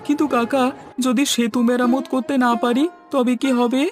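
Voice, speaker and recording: A young cartoon villager put on by a man speaks in a very high voice. The manner is deferential and pleading, eager to help, with music playing underneath. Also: little pitch movement, normal speed. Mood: worried. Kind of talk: scripted cartoon dialogue.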